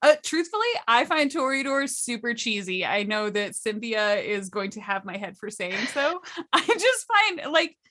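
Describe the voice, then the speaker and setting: A woman's voice: a little high, very animated, normal speed, few pauses, pushed and bright, no room sound, studio quality. A woman in her thirties in casual chat as a panel guest, playful, wry and emphatic. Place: at home, indoors.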